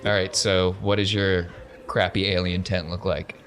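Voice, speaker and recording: A man's voice: low voice, little pitch movement, normal speed, a bit bright, a little hiss or hum. A man narrating a tabletop role-playing game as game master, in a casual, jokey way.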